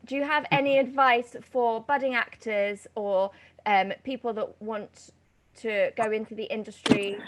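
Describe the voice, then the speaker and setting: A woman's voice: on the high side, steady tone, measured pace, low-quality recording. A woman speaking casually as the host of an interview chat. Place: remote online video chat.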